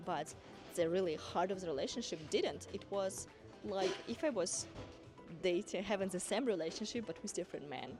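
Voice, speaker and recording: Earnest low-voiced woman coach from Kazakhstan. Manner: earnest, encouraging, with non-native grammar slips. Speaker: dance school owner and women's coach. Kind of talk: motivational talk to camera. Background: background music.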